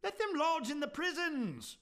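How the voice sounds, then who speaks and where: Man playing a scornful old miser, swooping pitch. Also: big pitch swings, unhurried, fairly bright tone, full character voice. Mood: contemptuous. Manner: curt, sneering, theatrically indignant. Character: miserly, bitter old Victorian businessman, scornful and grumbling. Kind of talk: radio play acting.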